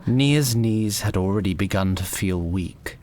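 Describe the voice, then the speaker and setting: A man's voice: on the low side, measured pace, darkish tone. A man reading scripted narration for a fantasy story as its narrator.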